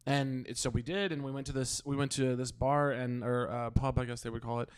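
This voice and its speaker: Podcast host guy talking fast, clean smooth voice, chatty and playful, jokes at his own expense. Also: medium pitch, steady tone. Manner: chatty, playful, self-deprecating. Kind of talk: casual chat.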